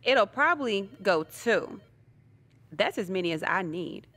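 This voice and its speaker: A woman's voice: medium pitch, expressive, unhurried, fairly bright tone, dry recording. An actress playing a self-assured female wrestling challenger with a teasing manner. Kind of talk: video game cutscene acting.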